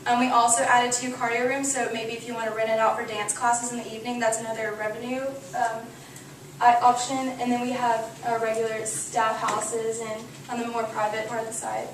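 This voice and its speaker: A young woman from Texas walks a room through her design in a loose, conversational way. Her pitch sits a little high and barely moves. She is heard from a distance in a very echoey room with steady background noise. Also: brisk. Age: young adult. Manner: loose and conversational, many fillers. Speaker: student architect presenting. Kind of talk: class presentation. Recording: echoey room from far off, noisy.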